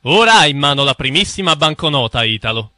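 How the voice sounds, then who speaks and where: An actor playing a cynical know-it-all man who lectures his naive friend in a didactic, emphatic, condescending way in an animated explainer. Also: a little high, a little fast, no echo, studio quality. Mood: lecturing. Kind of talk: animated explainer cartoon dialogue.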